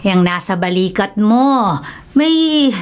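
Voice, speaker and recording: A woman's voice: medium pitch, lively intonation, takes it slowly, smooth. Grave older Filipina village woman. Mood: ominous. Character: ominous older village woman who warns of curses. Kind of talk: Filipino radio drama acting.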